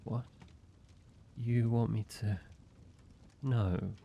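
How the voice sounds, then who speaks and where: A man voicing a weary, guarded ancient vampire who is grieving yet tender, speaking haltingly in an intimate roleplay audio drama with a gravelly voice. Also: steady tone, mellow, slight background noise, exaggerated voice. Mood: shocked, reluctant. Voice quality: low, gravelly. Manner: slow, halting, hesitant delivery with pauses.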